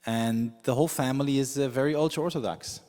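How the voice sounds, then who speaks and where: A man whose first language is Yiddish, preaching as a rabbi in a measured storytelling way with frequent pauses. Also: medium pitch, a little mellow. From United States. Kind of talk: sermon. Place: synagogue sanctuary with long reverb. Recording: reverberant hall.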